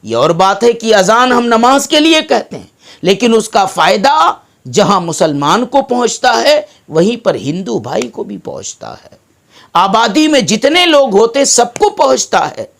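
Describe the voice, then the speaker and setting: High-pitched, slow, emphatic Indian preacher. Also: male voice, very animated, creaky, a bit bright. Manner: emphatic, oratorical, with deliberate pauses. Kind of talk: sermon.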